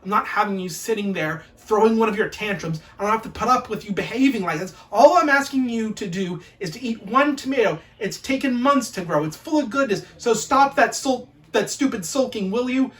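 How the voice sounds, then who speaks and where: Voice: medium pitch, animated, brisk, few pauses, a bit bright, distant mic, pitched above the performer's own voice, lightly acted. A storyteller voicing a strict, indignant parent who lectures and nags a sulking child. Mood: scolding. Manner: nagging, emphatic, lecturing.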